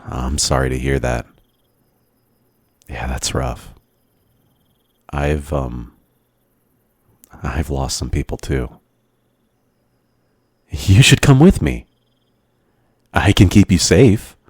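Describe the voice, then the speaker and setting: Very deep, shy young man, acted. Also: animated, slow, pauses often, husky, dry recording, heavily acted. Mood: somber, sympathetic, then earnest, bashful. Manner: hesitant, stammering, soft-spoken awkward delivery. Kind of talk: ASMR boyfriend roleplay. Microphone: close microphone, dry sound.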